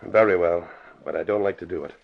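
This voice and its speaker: A man's voice: low voice, fast talker, creaky. An actor playing a self-important, crooked businessman who blusters in old-time radio drama style. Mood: reluctant, scheming. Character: indignant, influential male crooked businessman. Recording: muffled, noisy vintage radio broadcast.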